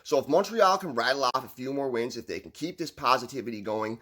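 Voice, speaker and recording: A man's voice: medium pitch, fairly quick, bright, forceful tone. A man with a Newfoundland accent, giving upbeat, rambling hockey commentary on his own channel. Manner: earnest, upbeat, rambling with restarts. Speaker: hockey vlogger.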